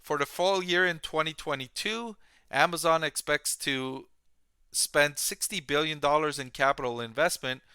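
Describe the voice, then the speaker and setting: Bright, clear-voiced man explaining finance. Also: on the high side, normal speed, no room sound, studio quality. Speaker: host, stock analyst. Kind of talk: explanatory financial commentary to viewers.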